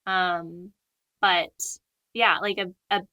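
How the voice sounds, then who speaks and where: Young woman, from California, bright voice, chatty, giggly, filler-heavy, podcast guest. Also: on the low side, level tone, slow pace. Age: 20s. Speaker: guest, new veterinarian. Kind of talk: casual podcast chat.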